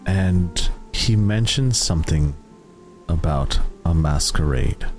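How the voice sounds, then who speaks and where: A man narrating a tabletop roleplaying game as game master, in a deliberate, suspenseful way with long dramatic pauses. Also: low voice, lively intonation, darkish tone, faint music behind.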